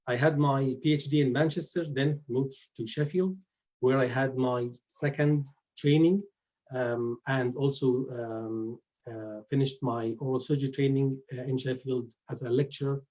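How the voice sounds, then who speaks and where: A man's voice: medium pitch, fairly even intonation, normal speed, mellow. Male oral surgeon, forties, hesitant lecturer, dull lo-fi call audio. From Egypt. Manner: hesitant, many fillers and restarts. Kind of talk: webinar lecture. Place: indoors at home. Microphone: computer mic on a video call.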